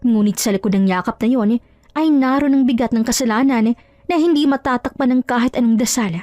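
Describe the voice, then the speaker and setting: A woman's voice: fairly high pitch, a little mellow, no echo. A woman narrating a scripted story in a dramatic style.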